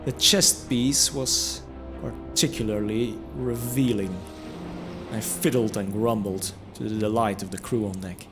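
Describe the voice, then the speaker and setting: A man's voice: fairly low pitch, steady tone, unhurried. A man narrating a scripted fantasy audio drama in the first person, reflective, with wry asides. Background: music under the voice.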